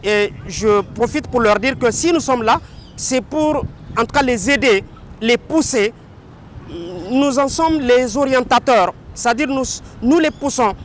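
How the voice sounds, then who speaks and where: Guy in his 40s, kinda high voice, lots of euh and restarts, a bit of street noise behind. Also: measured pace. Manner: hesitant, repeats words, uses "n'est-ce pas" as a filler. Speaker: interviewee. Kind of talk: public statement to a street microphone.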